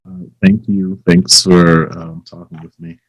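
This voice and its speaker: Man, low-pitched, slow-paced, hesitant casual interviewer, halting phrases. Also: big pitch swings, vocal fry, muffled recording.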